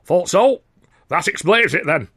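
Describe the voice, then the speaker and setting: A man voicing a loud, boorish, burly male comedy star, barking and sneering. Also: high voice, expressive, unhurried, slightly rough, voice pushed up, heavily acted. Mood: mocking.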